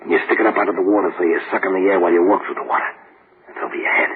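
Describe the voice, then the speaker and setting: A man playing a low-voiced tough convict. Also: little pitch movement, rapid-fire, close to an everyday voice. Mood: scheming. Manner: streetwise tough-guy slang. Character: tough death-row convict planning a breakout. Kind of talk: 1940s radio acting. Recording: tinny hissy old radio broadcast.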